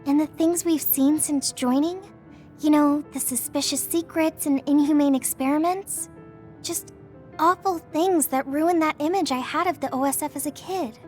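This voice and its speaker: A woman's voice: high voice, fairly even intonation, moderate pace, soft background music, close to an everyday voice. A woman voicing an earnest, self-doubting teenage girl soldier in an anime-styled video game, hesitant and sincere. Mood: downcast.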